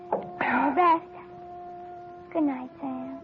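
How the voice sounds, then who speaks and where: An actress playing a devoted, soft-hearted young female secretary in a 1940s radio drama, speaking in a gushing, tender way. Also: high-pitched, extremely slow, faint music behind. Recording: muffled old radio broadcast with hiss.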